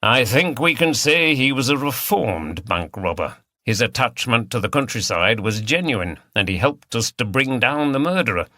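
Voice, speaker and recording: A man voicing a terse, measured male chief inspector in an audiobook. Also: medium pitch, expressive, bright, forceful tone, no echo, studio quality. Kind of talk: audiobook character dialogue.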